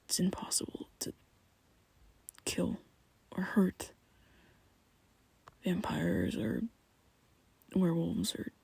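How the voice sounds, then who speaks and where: A man voicing a young male vampire best friend in an ASMR roleplay, whispering softly and haltingly as he confesses. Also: sits high, very animated, gravelly, breathy edge, no echo, heavily acted. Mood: hushed confessional. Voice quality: soft close whispering.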